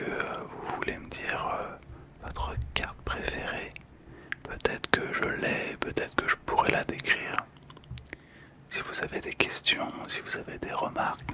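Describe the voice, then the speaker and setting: A man hosting ASMR in a breathy whisper, speaking gently and soothingly with pauses, close to the microphone. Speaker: ASMR video host. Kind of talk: whispered ASMR card description. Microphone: close ASMR microphone, monitored on headphones.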